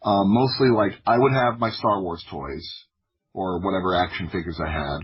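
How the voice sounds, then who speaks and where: Husky guy just chatting thru his stories, funny n informal, kinda dull lofi recording. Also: deep voice, normal speed. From United States (Florida). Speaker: podcast guest, audio drama creator. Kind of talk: casual personal storytelling.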